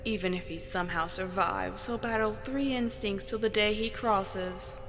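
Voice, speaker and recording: A woman's voice: mid-range voice, moderate pace, close to an everyday voice. A woman playing a smug, vengeful villainous sister who delights in her schemes in an audio drama, with long reverb. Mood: gloating. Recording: long hall reverb, music underneath.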